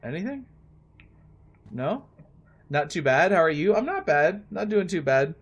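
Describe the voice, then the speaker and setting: A man's voice: on the high side, sing-song, a little slow. A man in his late thirties chatting casually and in a friendly way with viewers while he plays a game on a livestream, often thinking aloud. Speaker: live streamer playing a game.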